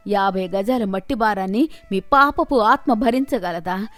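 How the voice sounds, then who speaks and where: A woman's voice: medium pitch, unhurried, fairly bright tone, close to an everyday voice. A woman voicing a shrewd, poor old village woman in a moral story, respectful in address but righteously firm, over music. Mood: righteous indignation. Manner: pleading, respectful address building to stern rebuke.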